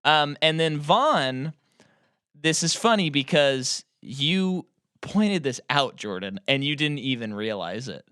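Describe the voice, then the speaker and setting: A man's voice: on the high side, lively intonation, takes it slowly, fairly bright tone, smooth, no room sound, studio quality. A man co-hosting a movie podcast, chatting casually and explaining with enthusiasm. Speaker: podcast co-host. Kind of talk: casual movie-review chat.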